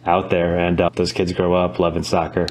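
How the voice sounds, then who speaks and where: A man's voice: sits low, completely flat delivery, moderate pace, a little mellow, slight background noise. A man in his thirties from Brazil, explaining things casually as a podcast guest on a video call. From born in Brazil, moved to the United States as a child.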